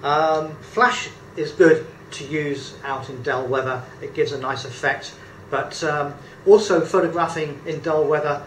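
A man's voice: a little high, fairly even intonation, unhurried, a little hiss or hum. A man in his fifties with a British accent, giving a casual photography tutorial at a table, talking chattily with lots of ums and uhs. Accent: British English. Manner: chatty, hesitant with many fillers, good-humoured. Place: indoor room at a table. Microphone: camera mic a few feet away. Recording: echoey room with faint background ambience.

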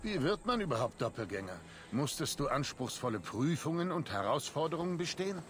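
A sardonic, lively-toned assassin. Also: male voice, fairly high pitch, a little fast, background noise. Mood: dry, mocking. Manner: dry, sardonic. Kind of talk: dramatic video game dialogue.

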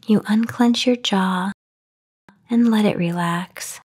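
Breathy, half-whispering woman guiding meditation. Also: medium pitch, a little mellow. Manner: soothing, unhurried, long pauses. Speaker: meditation guide. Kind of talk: guided sleep meditation narration, soft-spoken. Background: voice only, no music or background noise.

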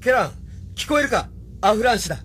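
An actor playing an earnest, intense young mecha pilot hero in a Japanese radio drama, with dramatic delivery. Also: male voice, very high-pitched, expressive, quick pace, fairly bright tone, slight background noise. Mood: determined.

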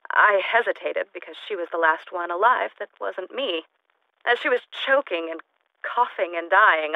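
A woman's voice: on the low side, gravelly, dry recording, narrow, tinny audio. An actress playing an eccentric, unstable woman scientist in a video game, recording lonely logs in formal, wordy, clinically detached speech through a recorder-like radio filter. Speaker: video game character actor. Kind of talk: in-character audio log monologue. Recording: thin, band-limited recorder sound.

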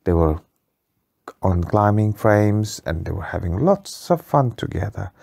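Storyteller, very low and unhurried, patient, simple phrasing, improvising a bedtime tale for a child. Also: lively intonation, creaky, warm tone.

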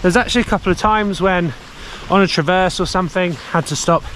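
A man in his thirties talks straight to an arm's-length action camera from a snowy mountain village, chatty and upbeat with plenty of filler words. His voice sits a touch higher than most men's. Faint outdoor ambience hums behind him. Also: fairly quick, fairly bright tone, a little hiss or hum. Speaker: snowboarding vlogger. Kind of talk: casual vlog to camera. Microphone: action camera held at arm's length.

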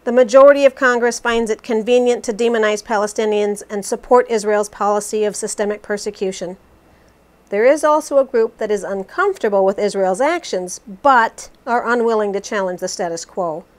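A woman's voice: mid-range voice, lightly acted. A woman playing a formal, resolute politician. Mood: earnest. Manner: formal, measured, scripted recitation. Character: resolute, critical female American politician answering an interview. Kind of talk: scripted interview re-enactment.

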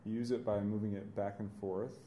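A man's voice: on the low side, brisk, a little hiss or hum. A young man in his twenties, an engineering student, steadily explaining how his team built a hand-powered washing machine. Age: 20s. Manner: steady, instructional. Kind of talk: project explanation and demonstration.

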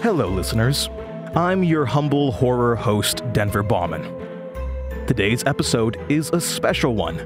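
An American man in his twenties playing a weary, sardonic Midwestern horror radio host in an audio drama, with a dry, halting manner. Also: medium pitch, very animated, close to an everyday voice. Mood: showy, spooky. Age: 20s. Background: music behind the voice.

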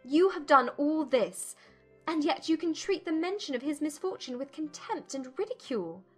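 Indignant young period gentlewoman, played by a woman, high-pitched, formal and accusing, audiobook drama. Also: animated, moderate pace, faint music behind. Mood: angry. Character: spirited, indignant young gentlewoman refusing a suitor.

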